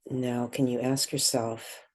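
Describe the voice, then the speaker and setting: A woman leads a guided meditation in a husky voice. She speaks very slowly and gently, setting out short phrases and leaving long pauses between them. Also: a bass voice, little pitch movement.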